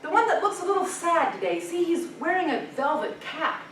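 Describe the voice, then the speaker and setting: A woman's voice: very high-pitched, expressive, brisk, a bit bright, slight background noise, voice pushed up. A woman voicing a young page who points out the king in a chatty, confiding way, in an echoey room far from the mic. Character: helpful young male page at court.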